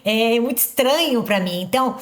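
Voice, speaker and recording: A woman's voice: a little high, expressive, moderate pace. A woman in her fifties, a podcast co-host, chatting casually about her own experience of aging. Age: 50s. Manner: chatty, frequent fillers like "né". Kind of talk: casual personal reflection.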